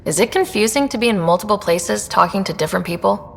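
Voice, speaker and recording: An actress playing a wry, curious young space explorer in a video game, talking in a casual, conversational way. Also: female voice, expressive, fast, vocal fry. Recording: long reverb, low rumbling noise.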